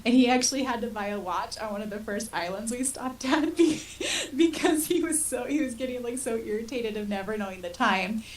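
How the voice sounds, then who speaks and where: A woman's voice: a little high, fairly quick. A woman in her thirties chatting casually as a podcast host, telling personal stories in a colloquial, self-deprecating way. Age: 30s. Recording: distant mic with faint hiss.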